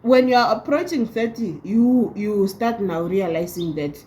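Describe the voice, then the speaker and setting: A woman's voice: medium pitch, warm tone, a little hiss or hum, poor audio. A thirty-year-old woman vlogging at home, talking casually with many restarts. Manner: halting, with many restarts and laughs. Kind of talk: casual talk to camera.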